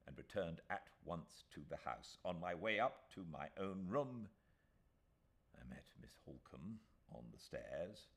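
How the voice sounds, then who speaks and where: A low-pitched British man narrates a classic novel. His delivery is measured and expressive, with deliberate pauses in the manner of a dramatic audiobook. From Britain. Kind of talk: dramatic literary storybook narration.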